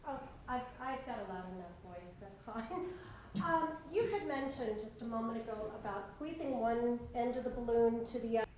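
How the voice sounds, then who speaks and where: A woman in the audience asks a question, stopping and restarting as she goes. Her voice is a bit high. It echoes strongly around the hall, with clear room noise behind her. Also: normal speed, a little mellow. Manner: hesitant, with fillers. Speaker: audience member asking a question.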